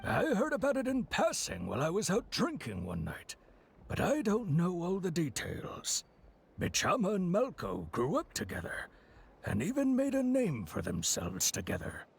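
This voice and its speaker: An actor playing a chatty, obliging middle-aged merchant in a video game, with a raspy voice and a folksy, animated way of telling things. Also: male voice, high-pitched, normal speed, full character voice. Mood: reflective.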